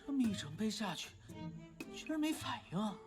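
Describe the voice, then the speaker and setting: An actor voicing a playful, teasing young man in an animated series. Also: high-pitched, a little fast, over music. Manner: teasing, laughing. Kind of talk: Chinese animated series dub.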